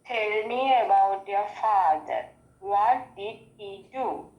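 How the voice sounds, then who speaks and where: A woman's voice: mid-range voice, takes it slowly. A woman from Sri Lanka with a Sri Lankan accent, a student who hesitantly repeats each question before she answers it. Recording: dull, echoey call audio.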